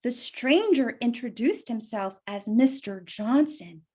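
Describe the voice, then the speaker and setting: A woman's voice: fairly high pitch, lively intonation, takes it slowly, a little mellow, slightly rough. A woman reading a story aloud for children, explaining it simply and putting questions to young listeners.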